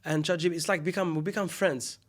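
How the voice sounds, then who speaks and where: A man's voice: a little high, fairly even intonation, quick pace. A man whose first language is Arabic, hosting an interview in casual chat, often restarting and searching for words. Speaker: podcast host.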